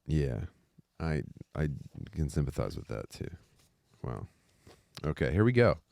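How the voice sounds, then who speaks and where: Man, very low voice, dry close sound, informal, sweary, chatty podcast co-host. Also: big pitch swings, a little slow, creaky, darkish tone, dry recording. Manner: informal, profane, bantering. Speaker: co-host reading aloud from a book. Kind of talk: casual podcast chat with read-aloud passages.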